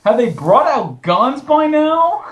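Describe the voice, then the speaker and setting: A man's voice: very high-pitched, sing-song, takes it slowly. A man hosting casual movie commentary in a sarcastic, incredulous manner. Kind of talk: casual commentary with read-out quotes.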